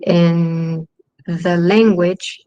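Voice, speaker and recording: Halting Chilean woman filmmaker, slow and low. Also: fairly even intonation, warm tone, dry recording, lo-fi sound. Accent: Chilean Spanish. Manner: halting, with many ums and restarts. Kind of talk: festival Q&A talk.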